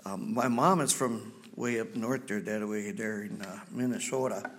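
A man in his fifties with a Wisconsin accent, a missionary giving a folksy, halting church presentation full of ums. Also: lowish voice. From Wisconsin, USA.

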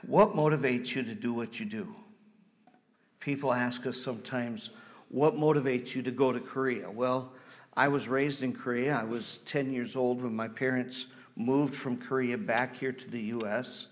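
Halting Wisconsin-accented man in his fifties. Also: medium pitch, fairly even intonation. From Wisconsin, USA. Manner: halting, folksy, frequent ums. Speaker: missionary. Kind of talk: church presentation.

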